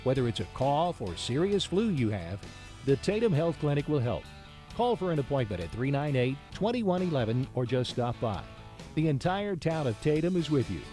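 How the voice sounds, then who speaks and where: A man with a dark, mellow, mid-pitched voice reads a scripted radio commercial. His intonation is animated, with faint guitar playing underneath. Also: moderate pace. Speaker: advert voice-over announcer. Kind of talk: scripted radio advert read.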